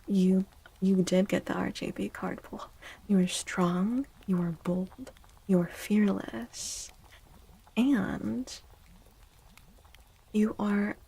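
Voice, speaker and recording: A woman hosting a soft-spoken ASMR tarot stream, talking gently and breathily, often near a whisper, with drawn-out delivery. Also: mid-range voice, vocal fry, slightly rough, rough recording. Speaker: livestream host giving tarot readings.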